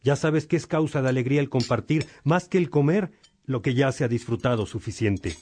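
A man playing a gentle, devout monastic father in a radio drama, speaking in a fraternal, reflective way. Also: mid-range voice, fairly quick, husky, close to an everyday voice. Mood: kindly.

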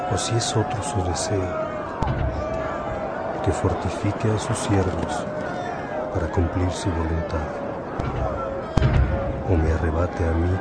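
Man playing a slow, fatherly old bishop. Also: low voice, heavy background noise. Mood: solemn pleading. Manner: paternal, exhorting, deliberate. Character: elderly, gentle, fatherly bishop and saint. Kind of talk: radio drama sermon.

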